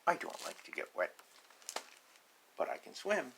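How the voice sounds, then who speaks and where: A man's voice: mid-range voice, very animated, measured pace, vocal fry, background noise. A man reading a picture book aloud to young children, explaining simply with repeated asides. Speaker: storybook reader.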